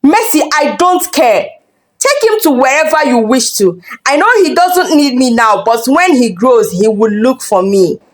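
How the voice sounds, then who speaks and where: A woman's voice: sits high, very animated, unhurried. A woman storyteller voicing a selfish, hot-tempered husband and father in an emphatic, dramatic way for a folktale. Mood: dismissive.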